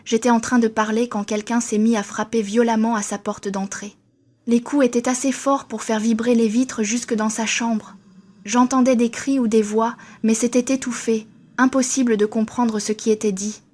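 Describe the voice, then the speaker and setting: Flat-toned woman narrating horror. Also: fairly high pitch, normal speed. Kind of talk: scripted first-person horror narration.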